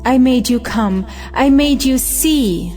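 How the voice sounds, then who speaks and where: A woman's voice: high voice, lively intonation, slow pace, darkish tone, soft background music. An actress playing a defiant, idealistic young woman in love, in an earnest audio play. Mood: fervent. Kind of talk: audio play acting of an old stage drama.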